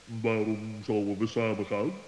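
A man's voice: fairly low pitch, level tone, measured pace, darkish tone, close to an everyday voice. A man in a children's radio play voicing a grave, stern, sceptical owl. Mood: suspicious. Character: grave, suspicious male owl. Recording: echoey hall reverb.

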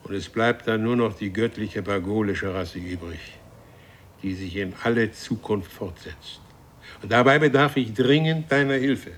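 An actor playing an imperious warrior from a future race in a radio play, with a commanding, grandiose and menacing way of speaking. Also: male voice, lowish voice, a little slow, a little hiss or hum, natural voice. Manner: commanding, grandiose, solemnly threatening. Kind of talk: German radio play acting.

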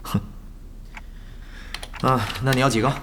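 An actor in a Mandarin audio drama playing an eager young guild gamer who flatters, haggles and mutters in exasperation. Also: male voice, medium pitch, unhurried, in a large hall, noisy background, close to an everyday voice. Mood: exasperated, grumbling. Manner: ingratiating, animated, with muttered exasperated asides.

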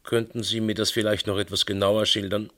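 An actor playing a methodical police investigator in a radio play, asking clipped, probing questions with polite insistence. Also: male voice, fairly low pitch, flat delivery, brisk, a bit bright, reverberant. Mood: polite, insistent. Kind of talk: German radio play acting.

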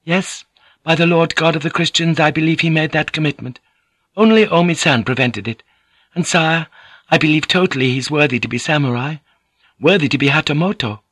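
A man's voice: fairly high pitch, brisk, fairly bright tone, no room sound, voice pushed up. A man voicing a young noblewoman who speaks politely, earnestly and deferentially. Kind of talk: audiobook character voice.

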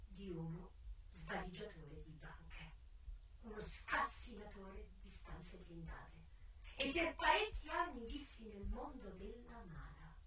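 An actress playing a worldly, frank and wry American brothel madam, telling her life in a dramatic radio theatre monologue that moves between hushed confiding and projected declaiming. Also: on the low side, slight background noise. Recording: echoey, distant stage sound with music. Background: music.

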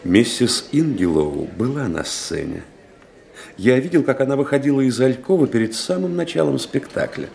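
An actor playing a composed, probing doctor who assists the police in a radio play, politely insistent. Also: male voice, medium pitch, animated, normal speed, gravelly, a little mellow. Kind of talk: Russian radio play acting. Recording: radio play with music underneath.

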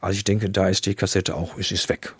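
A man's voice: low-pitched, little pitch movement, fast talker, breathy edge, no echo, studio quality. A man giving his opinion as an interviewee in a conversational way.

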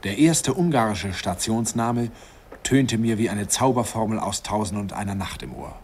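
Guy acting middle-aged narrator remembering being young, deep voice, reflective, old noisy radio drama. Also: normal speed, darkish tone, natural voice. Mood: wistful, amused. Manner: reflective storytelling. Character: middle-aged man wistfully recalling his youthful journey abroad. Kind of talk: first-person radio play narration, 1950s. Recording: old radio recording, clear background noise.

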